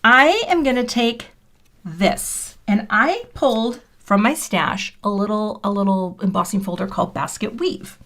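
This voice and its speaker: A woman in her fifties talks casually while making a card on a livestream. She is chatty and self-deprecating, and she drops into quiet, half-whispered mutters as she works. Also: mid-range voice, expressive, measured pace. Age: 50s. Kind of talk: casual crafting livestream chat. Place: home craft room.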